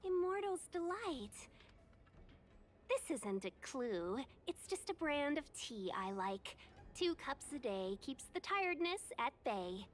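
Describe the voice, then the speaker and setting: A high-pitched young-sounding video game fox woman, polite and careful, speaking as a diplomatic guide in genteel, articulate phrases. There is faint noise behind her. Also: very animated, takes it slowly, full character voice. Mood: bright, playful. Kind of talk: scripted game voice acting.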